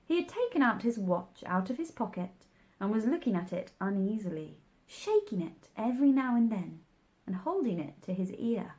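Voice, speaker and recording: A woman reading a storybook as narrator, in an expressive, deliberate way. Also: mid-range voice. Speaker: storybook reader.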